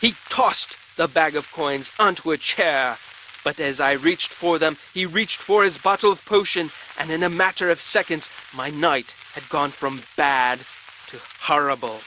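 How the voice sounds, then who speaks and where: An actor playing a melodramatic male adventurer, narrating a perilous tale in florid, drawn-out phrases for a game. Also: a little high, sharp tone, poor audio. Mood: desperate, grave. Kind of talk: dramatic first-person narration. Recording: rain and thunderstorm noise behind. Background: rain.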